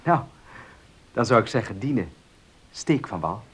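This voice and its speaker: Eager, stammering gentleman, very lively pitch. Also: a little slow, slightly rough. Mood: courteous, eager. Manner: stammering, courteous, formal. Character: courteous, eager, reclusive gentleman, kindly and helpful. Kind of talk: Dutch radio play acting.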